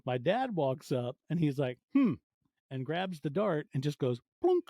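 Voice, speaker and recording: A man in his forties with a soft, mellow voice talks as a guest on a podcast. He tells stories in a casual, conversational way, briefly acting out what people said. The sound is very dry, with no room around it. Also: on the high side, sing-song, unhurried, no echo. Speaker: podcast guest, audio fiction producer. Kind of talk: casual interview storytelling.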